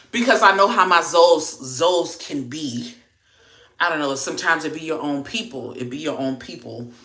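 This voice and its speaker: Young Haitian American host from Miami, low voice, chatty and slangy; echoey room. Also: female voice, a bit bright. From Miami, Florida. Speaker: livestream host. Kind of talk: casual livestream chat reading viewer comments.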